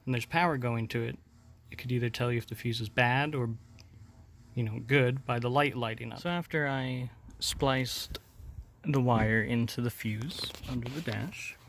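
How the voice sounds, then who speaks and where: A man's voice: medium pitch, moderate pace, fairly bright tone. A man hosting a hands-on DIY tutorial, explaining each step in an informal, casual way. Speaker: host of a DIY installation demonstration. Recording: noticeable background noise.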